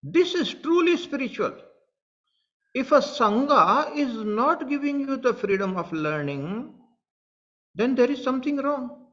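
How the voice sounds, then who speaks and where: A man's voice: very high voice, lively intonation, unhurried, a bit bright, muffled recording. A man with an Indian English accent, a spiritual teacher speaking earnestly to online followers, repeating his points and addressing them directly. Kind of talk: satsang talk to online followers.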